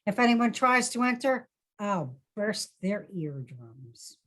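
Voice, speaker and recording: A woman's voice: mid-range voice, very animated, slow pace, fairly bright tone. A woman playing a theatrical, boastful aging horror scream queen at a drama table read. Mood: boastful menace.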